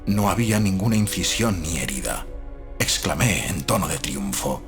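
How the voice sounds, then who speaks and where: Deep-voiced male gothic audiobook narrator. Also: lively intonation, moderate pace. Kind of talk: scripted dramatic narration of gothic fiction. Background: faint music.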